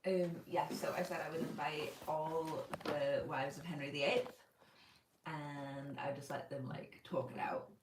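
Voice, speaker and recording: A woman's voice: sits low, fairly even intonation, unhurried, reverberant, recorded from a distance, background noise. A woman from Northern Ireland, raised in Spain, hosting a casual book-channel livestream from home and thinking aloud with plenty of fillers. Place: home room by her bookshelf.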